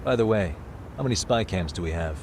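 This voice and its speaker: A man's voice: sits low, lively intonation, fairly quick, a little mellow, a little hiss or hum. A man playing a shrewd detective with casual, dry humor in a video game dub. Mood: practical, wry.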